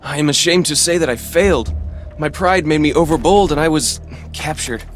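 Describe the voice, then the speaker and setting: An actor playing a humble young martial arts student in a video game, earnest and eager in formal speech. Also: male voice, a little high, moderate pace, faint music behind, lightly acted. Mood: ashamed, earnest. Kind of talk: video game cutscene voice acting.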